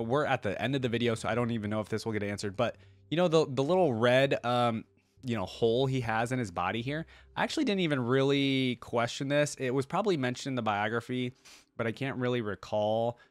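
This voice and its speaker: A man's voice: medium pitch, animated, quick pace, dry recording. A man giving casual, unscripted reaction commentary as a video host, rambling and emphatic as he addresses his viewers.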